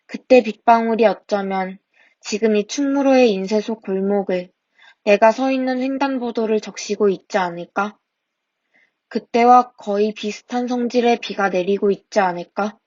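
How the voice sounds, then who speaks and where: A woman in her twenties, a student podcast host, reading her own personal essay aloud in a soft, reflective way, now and then stopping to correct a word. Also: medium pitch, no echo. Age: 20s. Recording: dry, dull lo-fi home mic.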